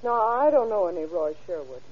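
An actress playing a guarded, wisecracking young tough dame, curt and sardonic, in 1940s radio crime drama style. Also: female voice, on the low side, big pitch swings, a little fast, husky. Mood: wary, sardonic. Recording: dull old radio drama recording.

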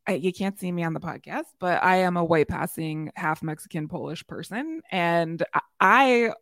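A woman co-hosting a podcast who recaps a TV episode in casual chat, telling it as a lively story and laughing easily. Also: fairly low pitch, normal speed, dry recording, studio quality. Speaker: podcast co-host.

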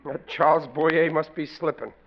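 A man's voice: on the high side, steady tone, normal speed, natural voice. An American actor playing a blustering, wheedling family father in a classic radio comedy, melodramatic and exasperated. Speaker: sitcom lead actor. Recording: dull, noisy old radio broadcast.

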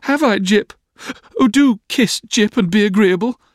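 A man's voice: high-pitched, unhurried, no room sound, studio quality, voice pushed up. A man voicing a childish, timid young Victorian sweetheart in an audiobook, coaxing and easily frightened. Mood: pouting, protesting. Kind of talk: audiobook character voice.

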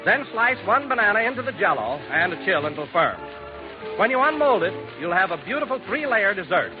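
An American man announces on 1940s radio in a high-pitched voice. He reads polished scripted copy and sponsor messages, captured on a muffled vintage recording. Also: sing-song, brisk, music in the background.

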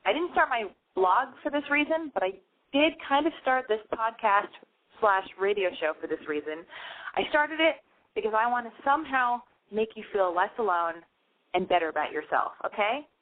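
A woman hosts a call-in show, talking with a noticeable lisp. She rambles in an excitable, self-mocking way. Her voice comes through thin and tinny, like it's over a phone line. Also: fairly high pitch, normal speed. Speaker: radio show host. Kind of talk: casual call-in show monologue.

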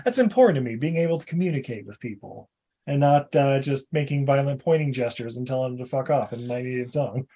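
A man's voice: mid-range voice, animated, quick pace, warm tone. Joking, rambling man on a podcast. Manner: joking, rambling with many fillers. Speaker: podcast co-host. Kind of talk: casual chat.

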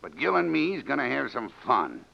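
An actor playing a sadistic, swaggering cowboy villain in an old radio Western, with a gravelly, gloating, folksy drawl. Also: male voice, medium pitch, huge pitch swings, moderate pace, exaggerated voice. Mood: cruel gloating. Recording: dull lo-fi vintage radio recording.

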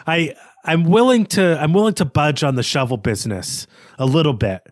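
A man's voice: a little high, very animated, normal speed, no room sound, clean studio sound. A man co-hosting a podcast, discussing a book in a casual, self-correcting and wry way. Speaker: podcast co-host.

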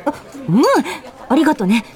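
A woman's voice: sits high, moderate pace. An actress playing a pushy, flustered young office woman in a Japanese radio drama. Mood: insistent, eager. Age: young adult. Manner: insistent, excitable. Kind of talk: Japanese radio drama acting with inner monologue.

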